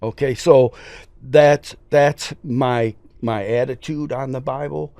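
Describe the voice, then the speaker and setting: A man's voice: mid-range voice, steady tone, slow, vocal fry, warm tone, no room sound. A man, a preacher talking earnestly and emphatically as a podcast guest. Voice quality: scratchy voice. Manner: earnest, emphatic, folksy storytelling. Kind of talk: casual interview talk.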